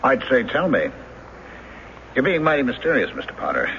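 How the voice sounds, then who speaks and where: An actor playing a polite but firm police lieutenant with an official manner in a radio drama. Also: male voice, mid-range voice, wildly swooping pitch, normal speed, pushed and bright. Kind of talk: old-time radio drama acting. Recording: muffled noisy radio drama recording.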